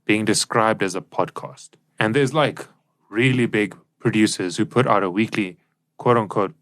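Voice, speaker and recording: A man co-hosting a podcast, explaining things in a discussion style. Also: medium pitch. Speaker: podcast co-host.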